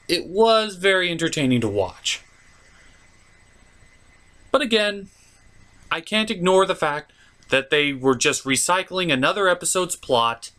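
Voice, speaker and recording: Guy doing funny review commentary, very up and down delivery, sharp bright voice, kinda theatrical and sardonic. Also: high-pitched. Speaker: host of a cartoon review show. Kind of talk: comedic review commentary with puppet banter.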